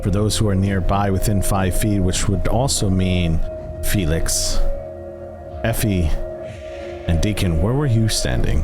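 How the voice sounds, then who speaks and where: A man narrating as game master of a tabletop horror game, measured and deliberate with pauses. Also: low-pitched. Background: music.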